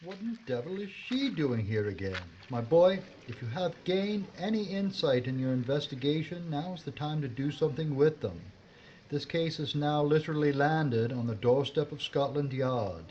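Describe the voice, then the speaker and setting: A man's voice: fairly high pitch, lively intonation, moderate pace, a little mellow, natural voice. Man acting a blustering police superior, muffled. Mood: exasperated. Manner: blustering, scolding. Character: gruff, impatient senior male police officer. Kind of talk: audio drama acting. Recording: dull sound with faint background noise.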